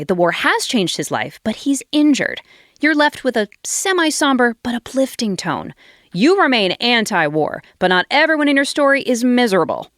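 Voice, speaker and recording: An actress playing a brisk, reassuring hotline operator who hands out glib advice in a comedy sketch. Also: female voice, medium pitch, no echo, studio quality, lightly acted. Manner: businesslike, glib advice-giving.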